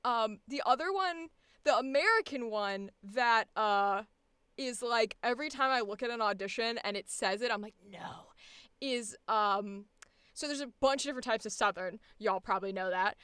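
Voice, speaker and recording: A woman voice actor chatting casually about auditions, animated and profane, laughing often. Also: a little high, normal speed, clear, resonant tone, no echo, clean studio sound. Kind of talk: casual chat.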